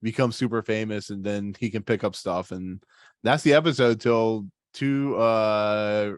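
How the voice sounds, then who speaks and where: A man's voice: on the low side, fairly even intonation, measured pace, smooth, no room sound. Rambling male podcast host, dry sound. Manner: rambling, heavy on "like" and "I mean". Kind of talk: casual podcast episode discussion.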